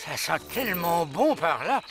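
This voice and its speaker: An actor voicing an excitable, fawning little cartoon animal sidekick, theatrical and full of exclamations. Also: mid-range voice, a little slow, background noise. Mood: fawning, eager. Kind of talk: animated fairy-tale dubbing.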